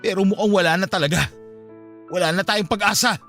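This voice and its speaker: An actor playing an anguished young adult son in a Filipino radio drama, bitter and pleading, with emotional delivery. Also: male voice, high voice, fairly quick, a bit bright. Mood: bitter, hurt. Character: anguished adult son confronting his cold father. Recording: music bed behind the voice.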